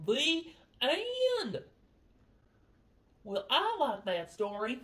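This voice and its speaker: A woman hosting a children's storytime, reading a picture book aloud in an animated, theatrical way with drawn-out words. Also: high voice, husky. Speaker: storyteller, show host.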